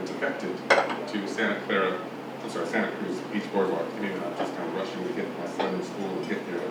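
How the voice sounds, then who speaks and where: Brief: soft-spoken man, 50s, speech at a podium, hesitant, lots of ums, distant echoey room. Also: on the low side, heavy background noise. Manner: halting, many fillers and restarts. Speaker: conference speaker. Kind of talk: speech at a podium, personal story. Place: conference hall. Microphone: podium microphone. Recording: echoey hall from far off, with clatter of dishes.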